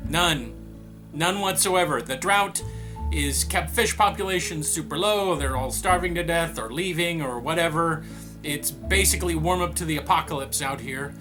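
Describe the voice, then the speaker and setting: A man hosting a comedy segment who answers viewer questions in a deadpan, gloomy, rambling way. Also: on the high side. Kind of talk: comedic scripted monologue. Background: guitar music.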